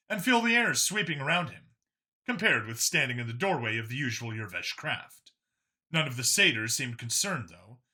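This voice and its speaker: A man's voice: mid-range voice, bright, forceful tone, slightly rough. A man reading a science fiction story aloud as a dramatic scripted narration. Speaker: narrator, audiobook reader.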